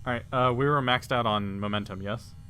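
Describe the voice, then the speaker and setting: A man's voice: mid-range voice, brisk, bright, forceful tone, a little hiss or hum. A man talking casually as a player in a tabletop roleplaying game.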